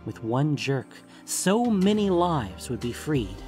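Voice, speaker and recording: A man's voice: a little high, sing-song, slow pace, a little mellow. Man narrating fantasy fiction over music. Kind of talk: scripted fantasy audiobook narration.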